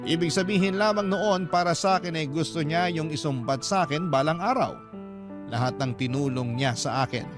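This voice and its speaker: A man's voice: a little high, moderate pace. A man narrating a radio drama in scripted first-person storytelling style. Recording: music bed behind the narration.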